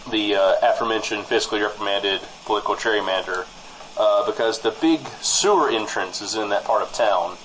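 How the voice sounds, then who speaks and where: A man playing an apologetic small-town broadcaster in improvised comic radio banter, halting and over-formal on a studio mic. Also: on the low side, a bit bright, a little hiss or hum, close to an everyday voice, low-quality recording. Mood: mock-serious. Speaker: co-host of a fictional small-town news show.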